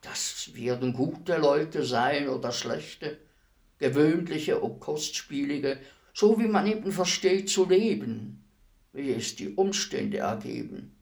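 An actor playing a weary old executioner in a radio play, speaking in a deliberate, ruminative manner with heavy pauses. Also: male voice, mid-range voice. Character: weary old male executioner, fifty years imprisoned, brooding, humble.

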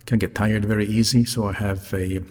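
A man who is a non-native English speaker hosts a solo podcast, articulating carefully and deliberately in a slightly raspy voice. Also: on the low side, steady tone, darkish tone. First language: not English. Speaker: podcast host, hotelier. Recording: reverberant hall-like sound, music behind.

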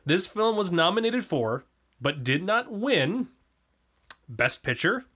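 A woman hosting a casual podcast, laughing often and briskly reading award lists. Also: on the low side, very animated, a bit bright. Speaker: podcast host.

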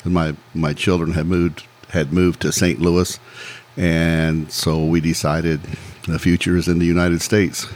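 A man in his sixties, from Peru, recounting his life in a measured, plainspoken way as a podcast guest. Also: sits low, little pitch movement, darkish tone. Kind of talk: casual interview storytelling.

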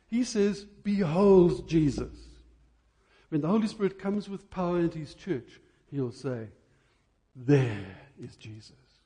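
A man preaching an impassioned church sermon, emphatic, with rhetorical questions and deliberate stresses on key words. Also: mid-range voice, mellow.

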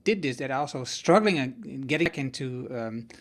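A man with a mid-pitched voice conducts a conversational interview. He speaks haltingly, thinking out loud as he frames and restates ideas. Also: expressive. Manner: halting, thinks aloud while summarising. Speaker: podcast interviewer.

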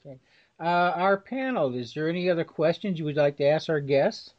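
A man's voice: a little high, animated, a little fast. A man hosting a radio-style panel show, addressing the audience and putting questions to guests. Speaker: show host. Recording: slightly dull audio, guitar music.

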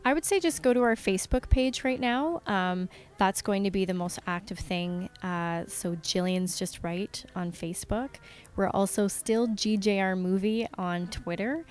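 A woman speaks in a casual interview style, her pitch sitting in the middle. She comes across as an earnest indie filmmaker, enthusiastic about her work. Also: a little slow, darkish tone, clean tone. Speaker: independent filmmaker being interviewed.

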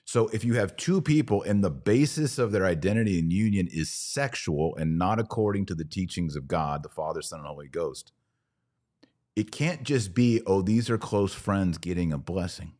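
A man's voice: low-pitched, animated, moderate pace, darkish tone. A man hosting a podcast, talking conversationally and arguing a point emphatically through hypothetical examples. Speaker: podcast host.

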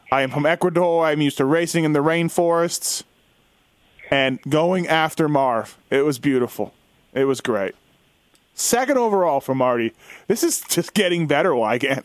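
Male podcast host, higher-pitched, loose joking banter about racing, recorded dry and close. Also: no echo. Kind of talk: casual sports banter.

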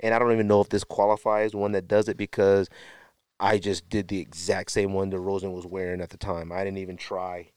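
Low-voiced man, clear podcast talk. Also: little pitch movement, a little fast, a little mellow, no room sound. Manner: rambling, self-correcting, unscripted. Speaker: sneaker podcast host. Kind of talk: casual show-and-tell talk to camera.

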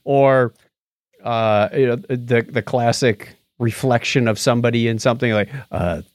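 A man with an average-pitched voice chats as a podcast co-host. He talks informally and jokingly slips into quotes of other people. The sound is dry and free of room echo. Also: measured pace. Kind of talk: casual chat.

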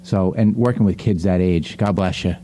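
A man's voice: sits low, fairly even intonation, normal speed, mellow, faint music behind. A middle-aged man hosting a casual panel conversation, speaking in a chatty, rambling, anecdotal way. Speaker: podcast host.

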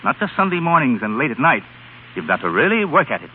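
An actor playing a frank, genial publishing editor in a brisk, cordial 1930s radio drama style. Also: male voice, mid-range voice, big pitch swings, natural voice. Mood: frank, advising. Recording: muffled, noisy 1930s radio recording with hum.

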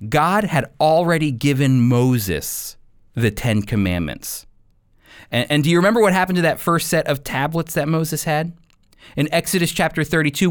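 A man preaching as a Bible teacher, earnest and deliberate, stressing key phrases through repetition. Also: fairly high pitch, no echo, clean studio sound. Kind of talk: sermon, expository Bible teaching.

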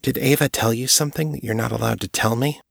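A man's voice: mid-range voice, steady tone, quick pace, gravelly, husky, no echo, clean studio sound. A man doing a blunt, frustrated woman detective. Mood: glum, blunt. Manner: blunt and glum.

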